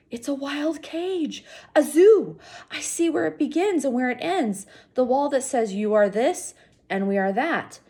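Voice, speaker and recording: Woman, teacher role, children's novel read-aloud, lively swooping pitch, rough-edged husky tone, direct address to young listeners. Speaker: teacher reading aloud to students.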